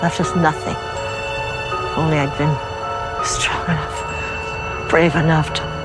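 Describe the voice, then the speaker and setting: A woman's voice: low voice, flat delivery, takes it slowly. An actress playing an older, bitter, resolute mother, grave and aggrieved, in a television drama. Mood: bitter anguish. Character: bitter older mother, resolute against her monstrous son. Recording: film clip with clear background music.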